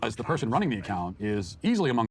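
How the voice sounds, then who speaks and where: A man's voice: medium pitch, very animated, racing. A man delivering a scripted, smug commentary monologue from a played clip.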